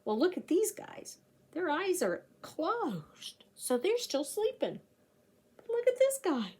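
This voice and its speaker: A middle-aged woman reading aloud to young children like a teacher, sing-song and animated, often breathy, stretching key words and putting questions to her listeners. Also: very high voice, takes it slowly. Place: small indoor room, seated by a door.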